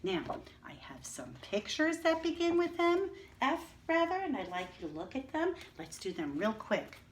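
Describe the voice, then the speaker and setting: A woman's voice: high voice, very animated, a little hiss or hum. A woman teacher giving an early-reading lesson to young children, encouraging them and asking them to repeat words after her. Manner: encouraging, slow and drawn out, calls for repetition.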